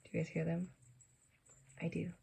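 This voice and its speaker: Creaky, mellow woman reading a storybook. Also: lowish voice, completely flat delivery, moderate pace, slight background noise. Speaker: children's storybook reader. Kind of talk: read-aloud storytelling with asides to children.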